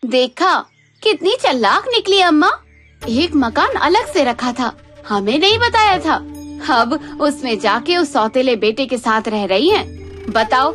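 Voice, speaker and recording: A woman's voice: sits high, a bit bright, full character voice. A woman voicing a greedy, scheming young daughter-in-law in a Hindi cartoon story, sly and emphatic. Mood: resentful.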